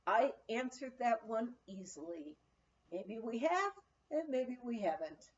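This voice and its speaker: A woman reading an anecdotal memoir aloud as an expressive storyteller. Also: on the high side, slow pace, dull audio. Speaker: audiobook reader.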